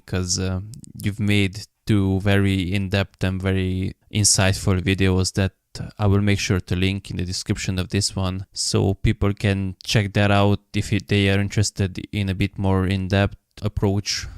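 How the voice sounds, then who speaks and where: A man hosting an interview podcast, introducing the episode and asking questions in a measured way with frequent ums. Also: low voice, completely flat delivery, clear, resonant tone, no echo, studio quality. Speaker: podcast host.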